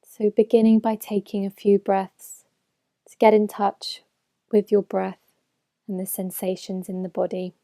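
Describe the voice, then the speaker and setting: A woman leading a guided meditation as a mindfulness teacher, speaking in a gentle, measured way with phrases that soften at the end. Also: mid-range voice, flat delivery, no echo, clean studio sound. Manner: gentle, measured, unhurried instruction with soft phrase endings. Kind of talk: slow guided meditation narration.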